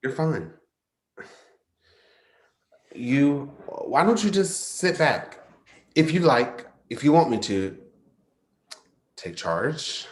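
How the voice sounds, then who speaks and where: Halting, insecure young-lover type, swinging pitch man acting. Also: animated. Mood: gently taking charge. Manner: halting, self-interrupting. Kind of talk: staged play reading, naturalistic dialogue.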